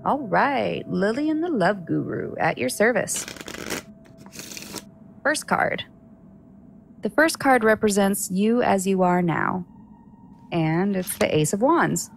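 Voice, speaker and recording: A woman's voice: medium pitch, lively intonation, slow pace, vocal fry, long pauses, a little hiss or hum. A woman playing a wry, reassuring tarot card reader in an audio drama. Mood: wry, explaining.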